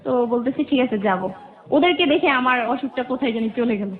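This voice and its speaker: High-voiced young Bangladeshi woman storytelling. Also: a little fast. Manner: animatedly quotes friends' dialogue. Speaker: radio guest telling her life story. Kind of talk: casual personal storytelling. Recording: thin, phone-like band-limited sound.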